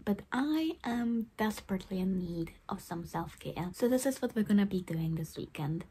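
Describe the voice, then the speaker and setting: Croaky-voiced young woman chatting warmly. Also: mid-range voice. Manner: chatty, self-deprecating, laughs often. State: slightly croaky from recent illness. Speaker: vlogger. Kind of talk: casual self-care vlog chat. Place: small bedroom at home.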